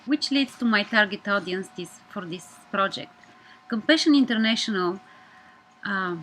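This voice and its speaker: A woman in her thirties from Bulgaria, speaking English with a Bulgarian accent, giving an earnest, measured personal presentation as a media student. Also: medium pitch, fairly bright tone, a little hiss or hum, rough recording.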